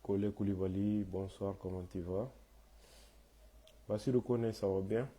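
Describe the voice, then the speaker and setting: Guy with a cold hosting a live chat, mellow dark voice, lots of euh. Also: fairly low pitch, fairly even intonation, a little slow. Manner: hesitant, many "euh" fillers and restarts. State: has a cold, hoarse. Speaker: host of a live online talk. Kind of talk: live commentary, switching French and Bambara.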